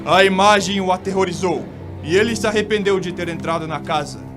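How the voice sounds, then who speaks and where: A man's voice: high voice, a little fast. A man with a Brazilian accent giving dramatic scripted narration of a ghost story. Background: music.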